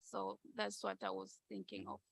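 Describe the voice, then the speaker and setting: A woman giving an earnest contribution from the floor of a conference, measured and with some fillers. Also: medium pitch, completely flat delivery, gravelly, a bit bright, a little hiss or hum. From Tanzania. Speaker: conference participant.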